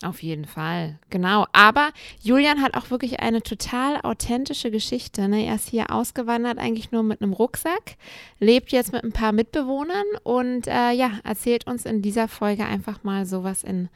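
A woman co-hosting a podcast from home, talking in a relaxed, conversational way with quick asides. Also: fairly high pitch, gravelly, dry recording, studio quality. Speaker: podcast co-host. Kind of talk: casual chat. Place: home in a city neighborhood.

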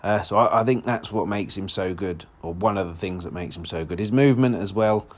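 A man with a hoarse voice, hosting a solo football podcast in a rambling, casual way with lots of restarts. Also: sits low, brisk. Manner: rambling, frequent restarts and fillers.

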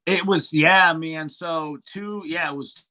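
Bright forceful guy talking wrestling commentary, animated, lots of ums and restarts. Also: fairly high pitch, takes it slowly. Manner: animated, frequent restarts and fillers. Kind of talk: casual podcast interview chat.

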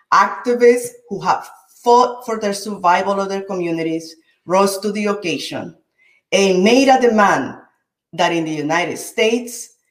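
A woman's voice: mid-range voice, echoey room. A woman hosting an interview show, speaking in an earnest, rallying way as she introduces her guest and asks questions. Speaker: show host.

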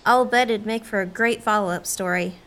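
A woman's voice: medium pitch, a little fast, fairly bright tone, lightly acted. A woman playing a chatty, upbeat small-town woman in a radio play. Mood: pleased.

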